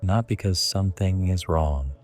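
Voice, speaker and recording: A man narrating a scripted guided sleep meditation in a hushed, deliberate way, in short phrases. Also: deep voice, faint music behind.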